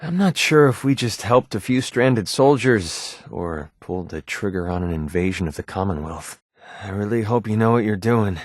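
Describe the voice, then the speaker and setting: Young mercenary, cocky, wary, sardonic, slightly deep voice, casual game banter, very dry studio sound. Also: male voice, expressive, normal speed, no room sound. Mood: skeptical, cynical. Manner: sardonic, casual, colloquial delivery. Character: wry young male mercenary, cocky, wary, protective father. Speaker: video game companion character. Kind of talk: video game voice acting, casual banter lines.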